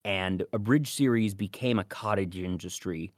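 A man with a fairly low voice speaks as a podcast co-host. He explains casually in a measured, conversational manner. The recording is dry, with very little room sound. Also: no room sound.

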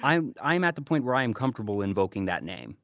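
A man's voice: medium pitch, very animated, fast, gravelly, fairly bright tone, no room sound, studio quality. A man hosting a podcast, giving casual, conversational reviews. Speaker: podcast host.

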